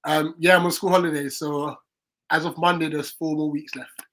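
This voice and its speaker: A man's voice: a little high, little pitch movement, normal speed, a bit bright. A man co-hosting a casual football fan watchalong, chatting about club news, reacting to the play and reading out viewer comments. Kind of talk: casual match watchalong chat.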